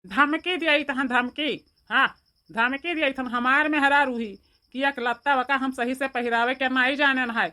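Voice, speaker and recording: A woman's voice: high-pitched, fairly even intonation, moderate pace, sharp tone. A woman voicing an angry, threatening village man in a cartoon, with an Awadhi accent and a hectoring, repetitive delivery. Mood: menacing. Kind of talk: amateur cartoon voice acting.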